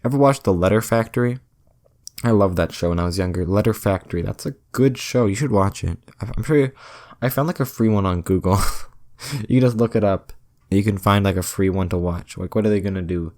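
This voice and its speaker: A young man with a slightly low-pitched voice rambles through a solo podcast. He stumbles and restarts his sentences often and delivers his jokes with a dry, self-mocking deadpan. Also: fairly quick. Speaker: podcast host.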